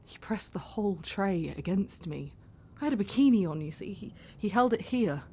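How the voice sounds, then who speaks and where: An actress playing a candid, vulnerable young woman taxi passenger in an audio drama, confiding in a halting, self-deprecating way. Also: mid-range voice, expressive, mellow, breathy edge. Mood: pained, intense.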